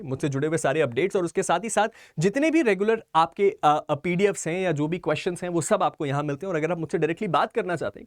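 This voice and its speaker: A man's voice: fairly high pitch, dry recording, clean studio sound. A man teaching as a law coach in an explanatory online lecture, emphatic and dropping English terms into his speech. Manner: emphatic, mixes English legal terms into Hindi.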